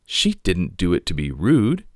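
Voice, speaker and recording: A man reading a children's picture book aloud in an expressive narrating style. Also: sits low, measured pace, no echo, studio quality. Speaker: storybook reader.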